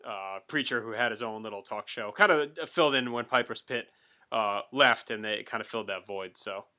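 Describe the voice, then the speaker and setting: A man talking casually as a podcast co-host, in a relaxed back-and-forth retrospective chat. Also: lowish voice, normal speed.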